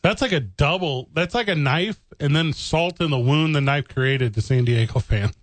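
A man's voice: fairly high pitch, normal speed, no room sound. A man from the St. Louis area co-hosting a casual sports podcast, riffing and joking. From St. Louis area, USA. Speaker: podcast co-host.

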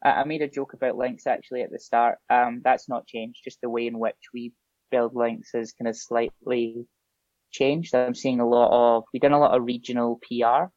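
A man's voice: mid-range voice, flat delivery, moderate pace, darkish tone, no echo. A man with a Scottish accent, a guest chatting casually on a podcast with a lot of filler ums. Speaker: SEO guest. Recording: dull lo-fi podcast audio.

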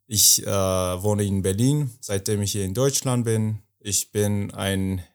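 Slow, hesitant young man from Afghanistan. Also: lowish voice, darkish tone. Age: young adult. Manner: hesitant, many fillers, searching for words. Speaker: podcast co-host. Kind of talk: casual podcast talk.

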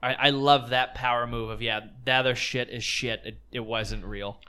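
A man in his late twenties hosts a loose podcast conversation. He rambles with excitement and swears offhandedly, his voice sitting at an ordinary middle pitch. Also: moderate pace, clean tone. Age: late 20s. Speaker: podcast host. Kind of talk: casual chat.

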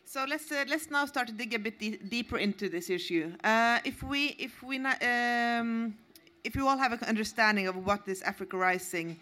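A moderator steering a panel discussion in a halting way, rephrasing questions along the way. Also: mid-range voice, sharp tone.

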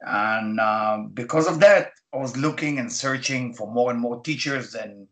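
Israeli-accented man, halting and thoughtful. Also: mid-range voice, fairly bright tone. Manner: halting non-native English with many fillers. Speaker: guest, Kabbalah teacher. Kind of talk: radio interview answers.

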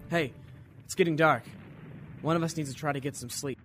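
A man's voice: fairly high pitch, steady tone, fairly quick, a bit bright, faint music behind, close to an everyday voice. A man playing an urgent, protective young American Marine rifleman in a war radio drama, speaking in clipped bursts. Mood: hushed warning. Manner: urgent, clipped battlefield delivery. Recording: noisy, with battle gunfire and artillery effects.

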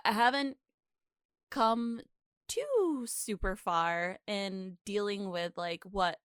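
A woman's voice: mid-range voice, expressive, slow, clear, resonant tone, no echo. Effusive woman chatting, dry close recording. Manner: effusive, emphatic. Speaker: podcast co-host. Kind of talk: casual podcast chat.

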